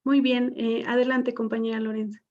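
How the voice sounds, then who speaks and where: A woman's voice: a little high, flat delivery, normal speed, dull audio. A woman moderating a panel on a video call, reading out viewer comments with hesitant fillers.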